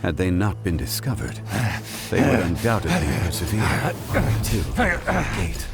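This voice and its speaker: A stern, formal investigator who takes charge and issues orders. His low voice moves with lively inflection over clear game music. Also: a little slow, heavily acted. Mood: serious. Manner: formal, commanding. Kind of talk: video game voice acting. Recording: game audio with music behind.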